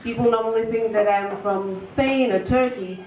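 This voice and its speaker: A woman, performing a deadpan stand-up set full of fillers and restarts, recorded live at a venue. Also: fairly high pitch, moderate pace, slight background noise. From Russia. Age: 20s. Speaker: stand-up comedian. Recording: echoey lo-fi room recording from far off.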